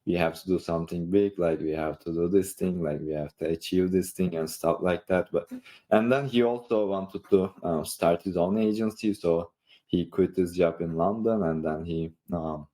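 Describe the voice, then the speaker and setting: A man with a Turkish accent talking casually on a podcast in a halting, rambling way, leaning often on "like". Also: low-pitched, mellow. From Istanbul, Turkey. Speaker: online creator and coach, podcast participant.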